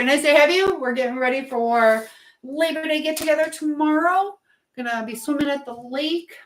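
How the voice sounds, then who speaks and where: A woman's voice: on the high side, rough recording. Woman chatting casually on a livestream. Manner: chatty, meandering, greets viewers by name. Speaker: livestream host. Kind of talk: casual live-stream chat while cooking, reading out comments.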